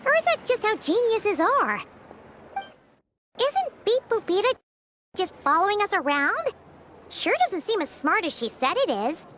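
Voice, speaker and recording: A woman's voice: very animated, a little slow, pauses often, fairly bright tone, slight background noise, heavily acted. A woman voicing a tiny childlike companion creature in a video game, chatty, exclamatory and prone to grumbling. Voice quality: squeaky, childlike. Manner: third-person self-reference, exclamatory. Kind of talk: animated video game voice acting.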